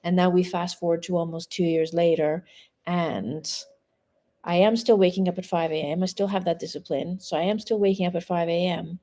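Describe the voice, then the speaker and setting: A woman's voice: on the low side, steady tone, brisk, mellow. A woman in her forties, a mindset coach, talking frankly and casually to a live audience from home, swearing now and then. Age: 40s. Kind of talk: casual live-stream monologue.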